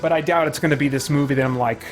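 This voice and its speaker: A man's voice: a little high, fairly even intonation, rapid-fire, music in the background. A man hosting a live game stream in casual chat, rambling and thinking aloud.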